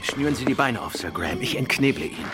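An actor playing a quick-witted, commanding male detective in a German radio play. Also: mid-range voice, expressive, close to an everyday voice. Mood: urgent, deducing.

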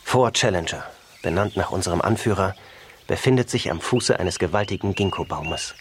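A man's voice: low-pitched, animated, moderate pace, noisy background. An actor playing an expedition reporter narrating his letters in a radio drama, formal, dutiful and composed.

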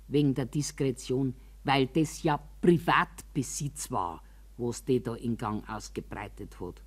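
An older actress playing an embittered, quarrelsome and anxious old care-home resident in a radio monologue, with a Bavarian accent and a grumbling, emphatic, deliberate delivery. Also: female voice, on the low side. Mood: indignant, recounting. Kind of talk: dramatic radio monologue in colloquial dialect.

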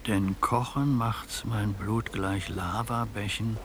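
An actor in a radio play declaiming dark, passionate verse in a measured, theatrical manner. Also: male voice, lowish voice, steady tone, noisy background. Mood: solemn, impassioned. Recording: loud music behind the voice.

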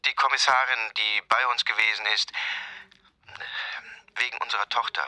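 A man's voice: lowish voice, fairly even intonation, moderate pace, pushed and bright, poor audio. An actor in a radio drama playing an anxious, polite father, hesitant and apologetic, through a telephone filter. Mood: subdued, anxious. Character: anxious, polite father seeking news from police. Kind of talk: naturalistic radio drama acting.

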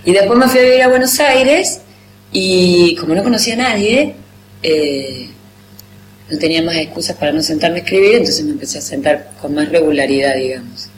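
A woman's voice: fairly low pitch, expressive, fairly quick. An Argentine woman writer in her thirties, chatting casually and self-mockingly in an interview, often laughing at herself. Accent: Argentine Spanish, rioplatense. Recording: roomy echo with background noise.

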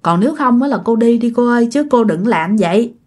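An exasperated male exorcist master, voiced by a woman storyteller in a high register with a Southern Vietnamese accent. He scolds and coaxes in turn, reasoning patiently with a spirit. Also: expressive, a little fast, darkish tone. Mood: admonishing, coaxing. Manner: exasperated, coaxing, advising.

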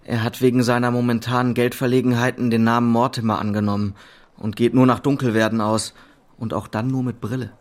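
A man's voice: mid-range voice, flat delivery, brisk, lightly acted. An actor as a mild, earnest young man. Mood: matter-of-fact. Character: good-natured, patient, earnest young man devoted to fiancée. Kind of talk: German radio drama acting.

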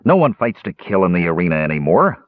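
A man voicing a cantankerous old dwarf arena keeper in a fantasy audiobook, with a rough voice and a scornful, folksy manner. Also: low voice, big pitch swings, fast talker, no room sound, phone-quality sound. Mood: bitter scorn. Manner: scornful, snappish, folksy grammar.

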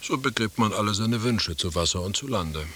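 A sardonic, grumbling husband in a radio conversation piece. His voice is deep and his diction formal and mocking. A faint hiss lies over the recording. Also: animated, brisk, fairly bright tone. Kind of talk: German radio play acting.

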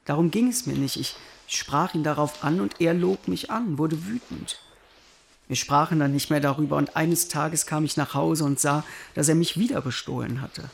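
An actor playing a wounded, reflective mathematician in a German radio drama, speaking with deliberate phrasing and candid irony. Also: male voice, on the high side, gravelly, slight background noise. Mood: defensive, candid. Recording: music under the voice.

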